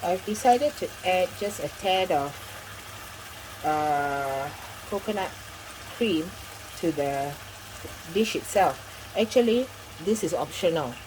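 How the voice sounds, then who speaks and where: A middle-aged woman with a notably low voice walks viewers through a recipe in her home kitchen. She explains each step in a chatty, instructive way and adds friendly asides. A faint sizzle of frying food sits behind her. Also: lively intonation, slow pace, background noise. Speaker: cooking channel host. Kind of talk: home cooking demonstration.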